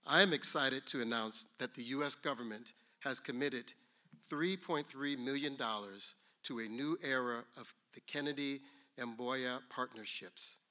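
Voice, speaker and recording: A man reading a formal prepared announcement as a government official, in a measured tone. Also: medium pitch, noisy background.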